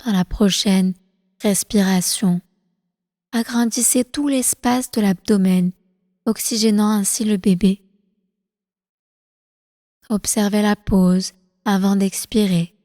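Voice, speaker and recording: A woman leading a guided relaxation meditation as instructor, calm and soothing, with slow, deliberate phrasing. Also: mid-range voice, no room sound, studio quality, in a large hall. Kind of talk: guided prenatal meditation, yoga nidra.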